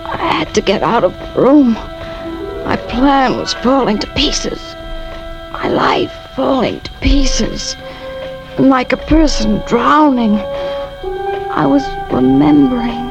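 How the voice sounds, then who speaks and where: Bitter, scheming 1950s radio wife. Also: female voice, sits high, expressive, slow pace, gravelly, lo-fi sound, full character voice. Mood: despairing. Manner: dramatic, intense first-person narration and clipped dialogue. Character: bitter, scheming wronged wife plotting murder. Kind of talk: 1950s radio drama acting. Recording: old radio recording with music behind.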